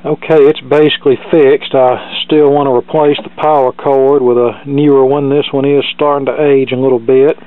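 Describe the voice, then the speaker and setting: A man talks through a repair in a casual, plainly explanatory way. His voice sits at an average pitch and his manner is matter-of-fact and dry. The sound is muffled and narrow, almost like a phone, picked up by a camera mic in a workshop. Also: little pitch movement, moderate pace, hardly any pauses. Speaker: hobbyist repairer presenting a demonstration. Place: indoor cluttered electronics workshop.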